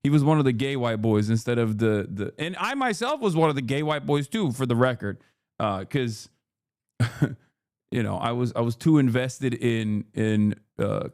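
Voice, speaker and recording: Male streamer, 30s, mid-pitched, bantering with chat, swears a lot, dry close sound. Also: dry recording. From Turkey. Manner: loud, joking, slangy, swears freely. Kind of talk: casual livestream chat.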